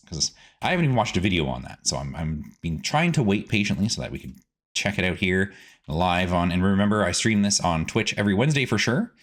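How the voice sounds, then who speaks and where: A man giving casual gameplay commentary as a streaming host, chatty and animated, rambling as he goes. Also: low voice.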